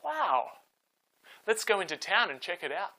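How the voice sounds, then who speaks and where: A man voicing amazed, excitable shepherds in a children's storybook tale, exclaiming in wonder. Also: high-pitched, a little slow, heavily acted, rough recording. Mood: awed, eager. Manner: exclaiming, wide-eyed.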